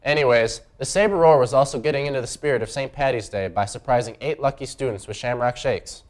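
A man's voice: mid-range voice, fairly quick. A teenage male student anchor reading scripted news and banter as the straight man. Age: teens. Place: small indoor school TV studio.

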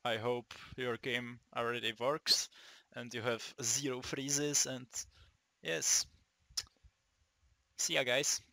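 A young man from the Czech Republic with a Czech accent, giving a casual, halting gaming tutorial, swearing often and restarting his sentences. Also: mid-range voice, level tone, creaky, bright, forceful tone, dry recording. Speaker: gaming video maker.

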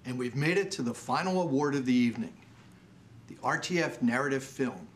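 An older American man presents awards from a script. His voice is mid-pitched, with a faint noise behind it in a studio. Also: animated, brisk. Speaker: awards show host. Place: indoor studio set.

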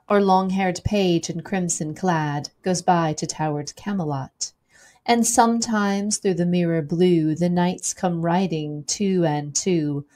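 A woman reading poetry aloud in a dramatic recitation, with measured, rhythmic phrasing that falls away at the ends of lines. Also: lowish voice, a little mellow. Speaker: poetry reader.

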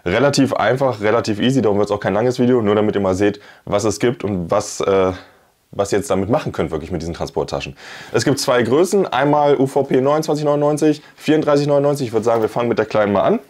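A man's voice: mid-range voice, fast talker, a little mellow. A man, chattily presenting and demonstrating products in a casual video review on a clip-on mic. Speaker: YouTube host, product reviewer. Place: small indoor room with plain wall. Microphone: clip-on lavalier on shirt.

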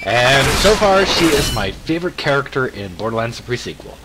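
Animated young male gamer, very swooping pitch. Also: fairly quick, loud music behind the voice. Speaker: let's player. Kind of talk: casual game commentary. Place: indoor room at a desk. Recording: loud game audio behind voice.

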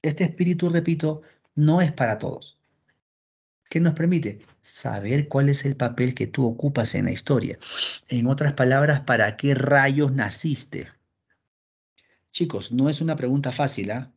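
Animated teacher, husky voice. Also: male voice, mid-range voice, unhurried. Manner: animated, acts out students' questions. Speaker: philosophy teacher. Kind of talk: online class lecture. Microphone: video call. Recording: dull lo-fi call audio.

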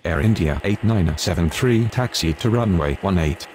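A man's voice: low-pitched, lively intonation, moderate pace, a little mellow, slight background noise. A man voicing an airline pilot and tower exchanges in a game, with clipped, procedural scripted radio phraseology. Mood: businesslike.

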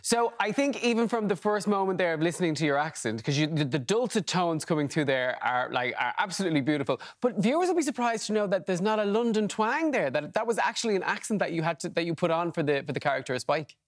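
A man's voice: high-pitched, animated, quick pace, few pauses. A man with an Irish accent hosting a breakfast TV show, asking chatty questions with restarts. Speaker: TV host. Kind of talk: breakfast TV interviewing. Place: TV studio.

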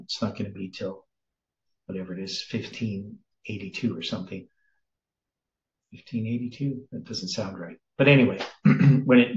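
A man in his sixties leading a sermon-style Bible study, explaining slowly and thinking aloud, with frequent self-corrections. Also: medium pitch, darkish tone. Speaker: preacher. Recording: roomy, slightly dull sound.

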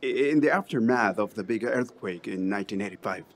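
A man's voice: on the low side, a little fast. Man acting unruffled local guide. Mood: wry, explaining. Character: unruffled Mexican man guiding a traveller.

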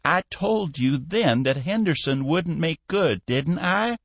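A man voicing a cantankerous, bossy old shipping tycoon in an audiobook, shrill, scolding and full of bluster. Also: animated, slow, a bit bright, breathy edge, no room sound, full character voice.

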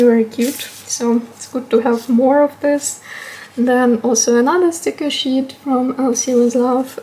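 Female vlogger, high pitch, unhurried casual haul commentary, hesitant and unscripted. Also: darkish tone, slight background noise, low-quality recording.

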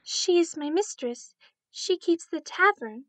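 A woman playing a timid eight-year-old servant girl in a radio drama, polite, halting and deferential. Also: very high voice, expressive, no echo. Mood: meek.

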